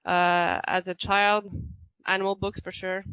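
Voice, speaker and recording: A woman's voice: mid-range voice, little pitch movement, a little slow, clean tone, muffled recording. A woman from Quebec with a Quebec French accent, chatting as an interview guest, laughing often and using many fillers. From Quebec, Canada. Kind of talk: casual interview chat.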